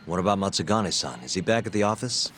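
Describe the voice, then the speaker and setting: An actor playing a terse, determined investigator in a video game, talking in a casual, matter-of-fact way. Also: male voice, low voice, animated, quick pace, noisy background. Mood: businesslike. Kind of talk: video game cutscene acting.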